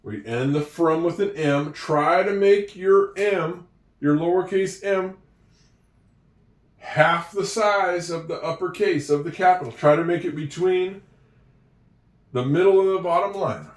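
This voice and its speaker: A man's voice: high voice, a little slow, far from the mic. A man from Oregon tutoring a child in handwriting, chatty and encouraging as he talks straight to the viewer. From Oregon, USA. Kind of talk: slow, patient writing lesson. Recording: echoey room, voice away from the mic.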